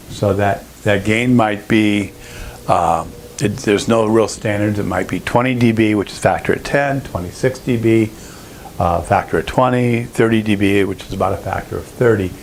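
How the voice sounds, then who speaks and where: Man, lowish voice, technical instructor, explanatory and halting delivery, informal lecture, some background noise. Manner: explanatory, halting, with frequent throat clearing. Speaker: presenter of an audio engineering lesson.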